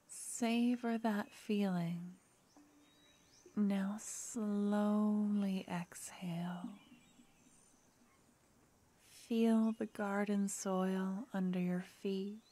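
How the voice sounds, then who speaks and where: A woman voicing a gentle young necromancer girlfriend in an ASMR fantasy roleplay, speaking softly and often whispering. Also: mid-range voice. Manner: soft and intimate, often whispering.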